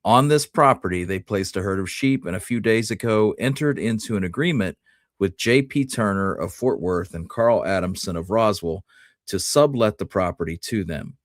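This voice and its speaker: Male podcast co-host with a lowish voice, conversational history talk, recorded dry. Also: normal speed, no echo. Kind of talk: casual discussion, reading from articles.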